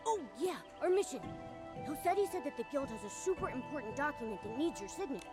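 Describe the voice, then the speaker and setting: Cute little furry game mascot, high voice, super animated, kiddy and chatty, music behind. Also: female voice, fast talker. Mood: eager. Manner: childlike, expressive, exclamatory. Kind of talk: voiced video game cutscene dialogue. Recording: loud game music underneath.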